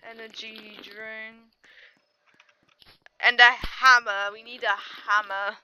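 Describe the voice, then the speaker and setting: A woman's voice: on the high side, level tone, slow, bright, forceful tone, a little hiss or hum, low-quality recording. A young woman giving casual, rambling gameplay commentary with dry, playful asides. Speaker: gaming video host.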